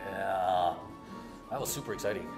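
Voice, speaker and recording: A man commentating live on kickboxing with casual banter, speaking excitedly and full of exclamations. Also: deep voice, moderate pace, gravelly, rough recording. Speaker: fight commentator. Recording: loud music and crowd behind. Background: loud music and arena crowd.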